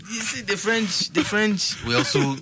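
A Nigerian musician telling stories in a relaxed, rambling way during a casual interview. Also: male voice, high-pitched, a little slow, a crowd in the background. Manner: relaxed, rambling storytelling with restarts. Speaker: musician guest.

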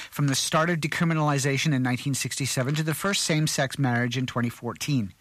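A man's voice: medium pitch, a bit bright, dry recording. A middle-aged man reading a news story on a community radio show, with small slips and restarts. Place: radio studio.

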